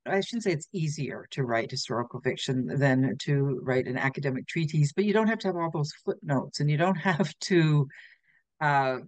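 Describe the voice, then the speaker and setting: A woman's voice: low-pitched, animated, moderate pace, darkish tone, dry recording. An older woman hosting a podcast interview over a video call, friendly and agreeable. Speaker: podcast host. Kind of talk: casual interview chat. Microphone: video call webcam.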